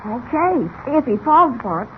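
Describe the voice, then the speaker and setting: An eager, high-voiced boy reporter. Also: big pitch swings, moderate pace. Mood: plucky. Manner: wide-eyed, plucky, questioning. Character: eager, earnest boyish cub reporter, male youth. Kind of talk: 1940s radio serial acting. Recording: tinny, band-limited old radio with hiss.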